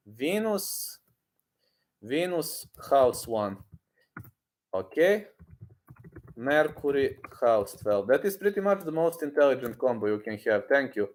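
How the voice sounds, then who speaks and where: A man in his thirties with a mid-pitched voice hosts an informal livestream. He speaks off the cuff, often repeating short phrases and thinking out loud. His delivery is halting and conversational as he responds to viewers. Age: 30s. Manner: halting, repetitive, thinking aloud. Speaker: livestream host answering viewer comments. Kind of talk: casual unscripted livestream chat.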